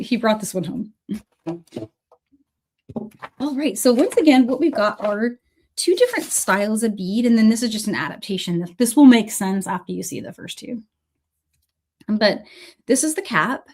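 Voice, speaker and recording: A woman in her thirties or forties hosts a relaxed livestream beading class, her slightly high voice touched by a cold and the odd cough. She talks in a chatty, friendly way, thanking viewers by name as she works. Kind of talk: casual livestream tutorial.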